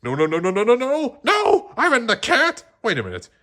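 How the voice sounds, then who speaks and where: Man acting out an excitable, high-pitched jazz musician. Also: fairly quick, full character voice. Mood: panicked. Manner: exclamatory, urgent.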